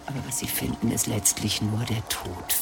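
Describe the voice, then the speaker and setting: A woman's voice: very deep voice, normal speed. An actress playing a sly, taunting witch in a radio play, theatrical and cryptic, sliding into whispers. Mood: cryptic, scornful. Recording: loud music under the voice.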